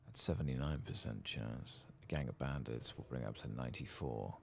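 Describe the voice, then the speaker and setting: A soft-spoken man with a husky, gravelly voice, murmuring unhurriedly as he talks through a video game in ASMR style. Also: very deep voice, normal speed, slight background noise.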